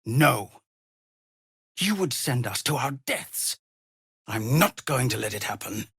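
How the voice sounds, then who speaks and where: An actor playing a weary, dutiful leader of refugees in a video game, speaking in an earnest, measured, formal manner. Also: male voice, medium pitch, lively intonation, vocal fry, fairly bright tone, slightly rough, no echo, clean studio sound, full character voice. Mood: firm, urgent. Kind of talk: dramatic video game voice acting.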